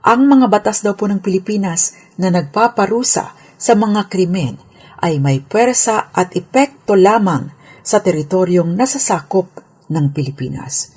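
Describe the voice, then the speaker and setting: A woman's voice: medium pitch, animated, unhurried, mellow, slightly rough. A Filipino woman, a lawyer on radio, giving a scripted legal explainer in a formal, polite, explanatory manner.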